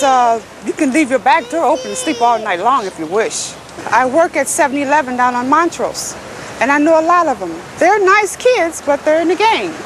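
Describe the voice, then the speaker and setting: A woman with a high voice answers casually as a local resident. She is plain-spoken and easygoing. Clear urban noise runs behind her in the street recording. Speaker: local resident, store worker. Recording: noisy street field recording.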